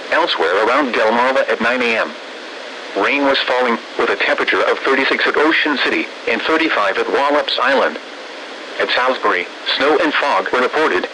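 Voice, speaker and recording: A synthesized male voice reading scripted weather bulletins in a measured, impersonal, formulaic way over weather radio. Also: medium pitch, pushed and bright. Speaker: automated weather radio announcer. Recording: noisy weather radio broadcast.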